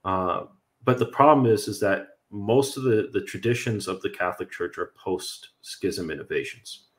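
A man's voice: low-pitched, moderate pace. A young Canadian man, an Orthodox priest, answering viewer questions in an earnest, explanatory way over a video call from a room at home. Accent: Canadian English. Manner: earnest, explanatory, with frequent fillers and light laughs. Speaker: Orthodox priest hosting a livestream. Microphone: video call webcam.

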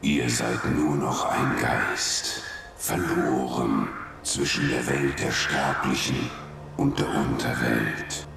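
Huge grave game spirit, super gravelly, slow and echoey. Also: male voice, a bass voice, steady tone, heavily acted. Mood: stern. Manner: grave, stern. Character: solemn, huge spectral judge of the dead. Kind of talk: dramatic video game acting. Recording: echoing, heavy noisy ambience behind.

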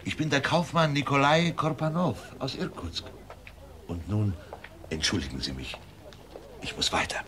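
A guarded courier, lively intonation. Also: male voice, medium pitch, normal speed, long pauses, background noise. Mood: guarded, curt. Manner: evasive, courteous. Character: young male courier in disguise, guarded, polite. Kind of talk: German radio play acting.

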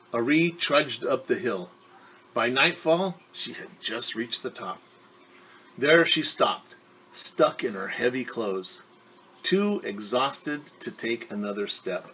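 A man in his forties reading a storybook aloud as a teacher, pausing to explain words and ask questions. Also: mid-range voice, a bit bright, slightly rough, poor audio. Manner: explains words slowly, asks rhetorical questions. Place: small room at home.

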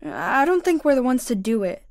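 A woman's voice: sits high, big pitch swings, normal speed, no room sound, studio quality. A woman playing an earnest, anxious schoolgirl in an audio drama. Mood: conflicted. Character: earnest, anxious schoolgirl, Puerto Rican family's daughter.

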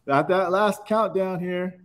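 A man's voice: sits high, a little slow. A middle-aged man hosting a live webinar from an auto repair shop, chatting loosely and calling people dude. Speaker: webinar host. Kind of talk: casual live-stream chat.

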